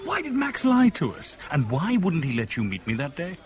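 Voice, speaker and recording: A man's voice: on the high side, huge pitch swings, moderate pace. An actor playing an indignant, lovestruck British merchant banker who questions insistently and narrates his own story in a radio drama. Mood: pressing, urgent.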